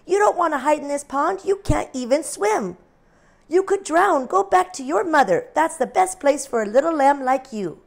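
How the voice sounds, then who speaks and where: A woman's voice: high-pitched, animated, moderate pace, gravelly, pitched above the performer's own voice. A woman voicing a fussy mother duck who scolds in a storybook reading. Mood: scolding.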